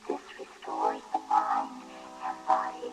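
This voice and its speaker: An actress playing a calm, solemn alien woman, speaking in measured, formal tones in a science fiction radio drama, with a shimmering effect on her voice. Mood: solemn, explanatory. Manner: measured, grave, formal exposition. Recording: echoing radio drama production with loud music.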